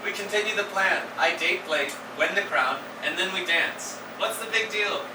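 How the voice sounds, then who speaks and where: Casting: male actor as conflicted high-school boy, earnest and defensive, staged musical reading, roomy distant recording with background noise. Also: normal speed, lightly acted. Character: sincere, conflicted lovestruck teenage boy. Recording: echoey, distant, noisy room.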